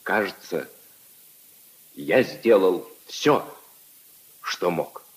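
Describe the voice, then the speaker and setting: An actor playing a lovelorn minor official, resigned, earnest and polite, in a solemn 1950s radio drama style. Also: male voice, low-pitched, animated, very slow, heavily acted, low-quality recording. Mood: resigned sorrow.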